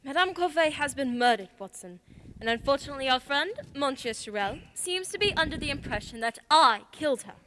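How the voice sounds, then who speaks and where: A woman's voice: high voice, animated, brisk, background noise. A woman playing a composed, clever great detective, formal and unruffled, in a radio play.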